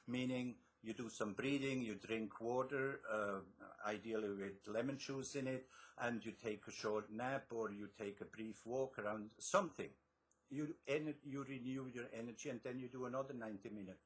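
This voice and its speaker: A man's voice: medium pitch, moderate pace. A middle-aged man from Switzerland with a Swiss accent, a guest author explaining his methods in an interview, with frequent restarts. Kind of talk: interview answers.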